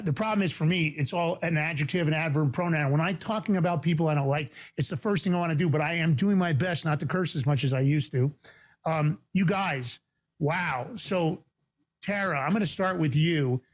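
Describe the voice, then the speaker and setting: A man from the Bronx with a New York accent, hosting a talk show in a fast, emphatic, indignant way. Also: a little high. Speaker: podcast host.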